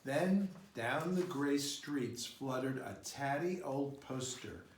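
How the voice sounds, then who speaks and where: A man reading a children's picture book aloud in an expressive, unhurried storytelling style. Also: mid-range voice, a little hiss or hum. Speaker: storybook reader. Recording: roomy, echoing sound.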